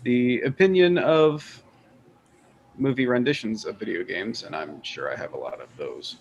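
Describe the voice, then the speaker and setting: A man's voice: medium pitch, animated, normal speed, dull audio. A man chatting casually as a panelist, recorded with background noise. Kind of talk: casual chat.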